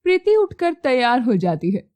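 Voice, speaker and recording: Very animated, higher-pitched woman storyteller. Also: normal speed, no room sound. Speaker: narrator. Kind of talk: scripted moral-story narration.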